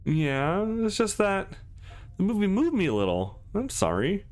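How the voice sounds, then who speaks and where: A man's voice: high voice, huge pitch swings, unhurried, a little mellow, pitched above the performer's own voice, exaggerated voice. A man voicing a sweet, eager young woman in a visual novel, gushing and a little hesitant, with music behind. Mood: shyly moved.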